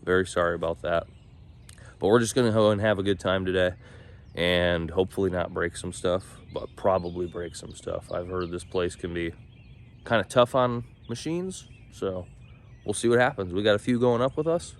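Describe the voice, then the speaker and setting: A man's voice: deep voice, normal speed. A man with a nasal voice, stuffy from a cold, chatting casually to camera as an off-road vlogger. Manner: upbeat, chatty, easygoing. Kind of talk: casual vlog narration to camera.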